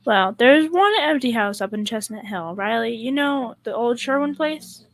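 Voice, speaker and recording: A woman's voice: on the high side, lively intonation, normal speed, a bit bright. A young female performer playing a wry, long-suffering housewife and mother in a school old-time radio comedy. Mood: gossipy, concerned. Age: young teen.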